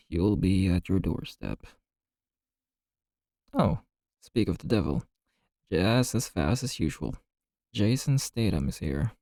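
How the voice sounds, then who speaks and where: Man as a low, slow-talking chatty bartender. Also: mellow, dry recording, studio quality, full character voice. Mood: relaxed, friendly. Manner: gossipy, unhurried, colloquial. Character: chatty, amused male bartender, easygoing and friendly. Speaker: bartender in audio roleplay. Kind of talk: ASMR roleplay, casual storytelling.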